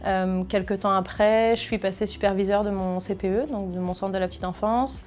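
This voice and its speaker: A woman's voice: mid-range voice, steady tone, a little fast, darkish tone, soft background music. A woman from Brittany, France, who supervises a childcare center, speaking plainly in an interview with light hesitations. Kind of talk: interview testimony.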